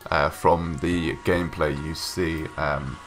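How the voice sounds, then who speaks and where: Casual low-voiced young guy, blocked-up nose, lots of ums, soft music underneath. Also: level tone, takes it slowly. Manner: rambling, hesitant, many ums and restarts. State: stuffy nose from a cold. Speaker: gaming YouTuber. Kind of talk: casual voice-over commentary. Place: home room, recorded separately from gameplay.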